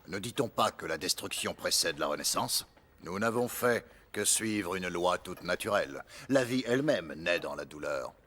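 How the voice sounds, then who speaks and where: An actor playing a haughty, scheming nineteenth-century baron in a French cartoon, speaking in a formal, theatrical manner. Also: male voice, low voice, lively intonation, moderate pace, a bit bright, a little hiss or hum. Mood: cold, smug. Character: scheming, pompous baron, smooth villain of 1880s Paris. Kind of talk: French animated series acting.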